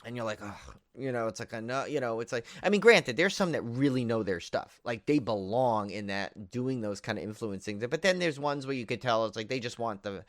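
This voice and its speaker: A man's voice: mid-range voice, lively intonation, brisk, hardly any pauses, no room sound, studio quality. A man co-hosting a podcast in casual film-review chat, rambling and repeating himself with enthusiastic emphasis. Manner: rambling, repeats himself, piles up "like" and "you know". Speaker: podcast co-host.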